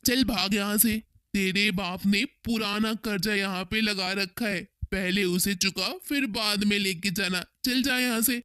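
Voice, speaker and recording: A man acts out a cruel village creditor from a cartoon tale. His voice sits high for a man and rings clean and smooth. He scolds and threatens with greedy menace. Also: fairly even intonation, measured pace, sharp tone, dry recording, clean studio sound. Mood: dismissive, scolding. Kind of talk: Hindi cartoon story dialogue.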